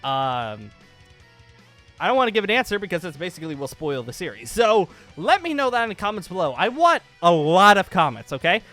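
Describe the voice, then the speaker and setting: Bright, high-pitched excitable man with music behind. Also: a little fast. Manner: excitable, rambling, emphatic. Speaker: YouTube channel host. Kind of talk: casual gaming channel update. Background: background music.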